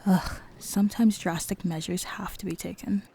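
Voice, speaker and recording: A woman's voice: on the low side, fairly even intonation, moderate pace, gravelly, warm tone, slight background noise. A woman playing a principled, determined hero and loyal ally in an audio roleplay, speaking earnestly and resolutely. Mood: exasperated.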